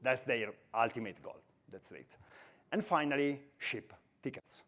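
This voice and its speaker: A man's voice: medium pitch, animated, measured pace, a bit bright. Italian accent, man in his forties, conference speaker, chatty, self-deprecating humor, headset mic. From Italy. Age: 40s. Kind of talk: conference talk. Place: conference stage in a hall.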